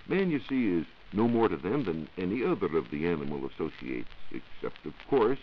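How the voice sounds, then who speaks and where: A man, a 1940s radio science reporter, giving scripted explanations in formal wording. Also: deep voice. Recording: dull, noisy 1940s radio recording.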